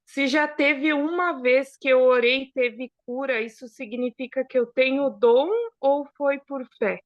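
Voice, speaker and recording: High-voiced woman on a call. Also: steady tone, unhurried, no room sound, muffled recording. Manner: brief, direct questions. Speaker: student asking a question. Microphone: video call.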